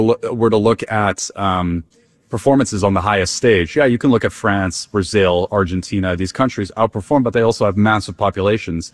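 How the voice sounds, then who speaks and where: A man's voice: fairly low pitch, no room sound. A young man from the Netherlands, talking casually in an interview with many fillers and restarts. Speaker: interviewee, Dutch soccer fan.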